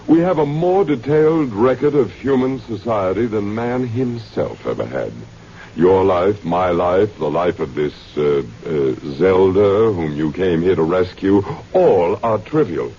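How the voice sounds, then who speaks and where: An actor playing a suave, learned older scientist in a radio drama, formal, precise and smoothly condescending. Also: male voice, lowish voice, dark tone. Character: suave, learned old male scientist running a grand project. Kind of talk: old-time radio drama acting. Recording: muffled, noisy vintage radio recording.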